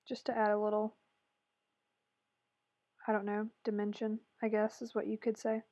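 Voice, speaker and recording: A woman with a hoarse, raspy voice chatting through a makeup tutorial voice-over, rambling and correcting herself as she goes. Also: medium pitch, monotone, moderate pace, dark tone, dry recording, lo-fi sound. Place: voice-over recorded separately from the video.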